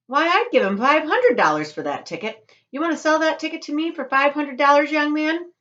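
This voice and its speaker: A brash, indignant woman shouting her offer over a crowd as a reader puts her on. Her voice is high and loud on a slightly dull storybook recording. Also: expressive, fairly quick.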